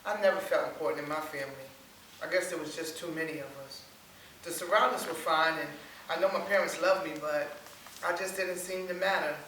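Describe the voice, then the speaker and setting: Female reader in her forties, from Long Island, rehearsed personal testimony, sharp tone, heavy room echo and hiss. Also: deep voice, fairly quick. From Long Island, New York. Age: 40s. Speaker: stage reader telling a life story. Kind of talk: rehearsed dramatic monologue reading. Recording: very echoey room, distant mic, faint hiss.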